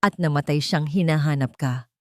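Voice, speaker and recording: A woman's voice: low voice, normal speed, no echo, clean studio sound, lightly acted. A woman voicing a wronged, grieving mother and wife in an audio drama, brave, tender and earnest. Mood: tearful defiance.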